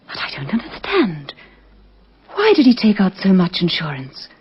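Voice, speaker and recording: An actress playing a bewildered, anxious widow, hesitant and faltering, in an old radio crime drama. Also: female voice, mid-range voice, huge pitch swings, normal speed, slightly rough, heavily acted. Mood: puzzled, shaken. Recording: muffled vintage radio recording.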